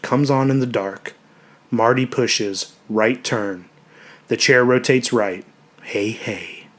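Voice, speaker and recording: A man reading a story as a dramatic narrator, measured and expressive, letting his voice hush at the ends of phrases. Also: mid-range voice.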